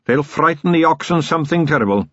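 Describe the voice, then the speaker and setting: A man's voice: fairly high pitch, animated, fast, bright, forceful tone, no echo, dull audio, pitched above the performer's own voice. A man doing a nervous old riverboat captain. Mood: anxious.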